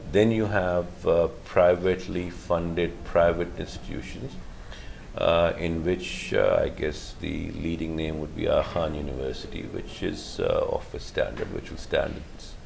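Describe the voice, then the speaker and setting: A middle-aged man speaks in an extremely low, rough voice full of gravelly fry. He answers slowly and carefully as a guest, pausing on fillers and restarting his sentences. A faint hiss sits under the recording. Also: darkish tone. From Pakistan. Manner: halting, measured, many fillers and restarts. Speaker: guest, physician. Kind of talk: interview answers.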